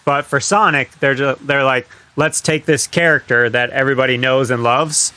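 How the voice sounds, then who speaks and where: A man's voice: medium pitch, steady tone, moderate pace, bright, forceful tone. A man chatting casually as a podcast panelist, agreeable and free with swearing. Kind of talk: casual chat.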